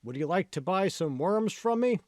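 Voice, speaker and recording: A man's voice: high voice, expressive, normal speed, a little mellow, no echo, studio quality, pitched above the performer's own voice. A man voicing a coaxing street merchant with an ingratiating, hawking delivery in a storybook fable. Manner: sing-song hawking, ingratiating. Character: coaxing, wheedling street merchant selling worms.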